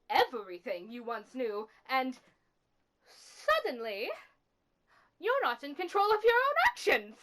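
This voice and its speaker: Casting a woman for a bitter, remorseful killer in an audio drama: very high pitch, slow, deliberate, accusing delivery. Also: sharp tone, full character voice. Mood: anguished. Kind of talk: dramatic character monologue.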